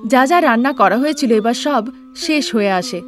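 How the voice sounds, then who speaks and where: A woman's voice: fairly high pitch, animated, normal speed, soft background music. Woman narrating a cartoon story.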